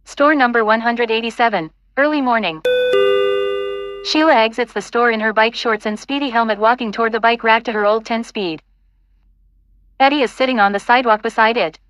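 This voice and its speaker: A woman's voice: a little high, fairly quick, a bit bright. A woman reading scripted stage directions as the narrator of an audio play.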